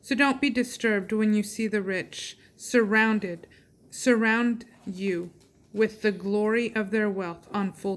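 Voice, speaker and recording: A woman reading scripture aloud with measured, deliberate delivery. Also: mid-range voice, rough recording. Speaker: reader and reading coach.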